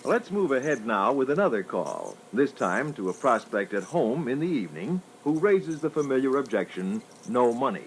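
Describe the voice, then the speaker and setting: A man's voice: medium pitch, big pitch swings, normal speed, hardly any pauses. A man moderating a sales training record with scripted instructional narration. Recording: faintly noisy old reel-to-reel training record.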